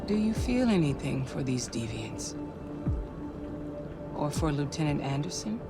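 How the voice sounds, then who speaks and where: A woman's voice: sits low, sing-song, a little slow, gravelly, over loud music, heavily acted. A woman playing a cool, controlling artificial intelligence handler in a video game drama, formal and probing. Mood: probing, stern.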